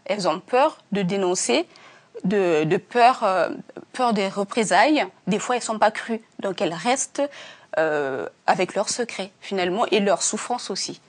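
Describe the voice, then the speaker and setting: A woman's voice: medium pitch, animated, normal speed. Earnest, hesitant woman author. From Africa. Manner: earnest, many fillers and restarts. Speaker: author, interview guest. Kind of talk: hesitant conversational interview answers.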